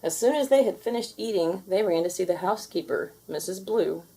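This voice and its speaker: A woman reading a children's story aloud in an expressive, deliberate storytelling manner. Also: medium pitch, creaky, husky, low-quality recording. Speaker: storybook reader. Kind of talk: children's read-aloud narration with character voices.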